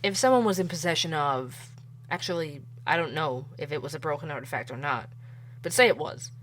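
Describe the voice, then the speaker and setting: A woman's voice: deep voice, a little fast, fairly bright tone, slight background noise. A woman voicing a dogged, opinionated male podcast investigator who asks blunt, earnest questions. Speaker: podcast host. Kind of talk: audio drama acting.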